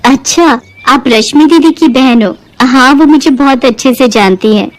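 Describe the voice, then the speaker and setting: A woman's voice: high-pitched, normal speed, lightly acted, rough recording. A woman voicing a humble, earnest village knitter who speaks politely and modestly in a Hindi moral-story cartoon. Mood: polite, eager.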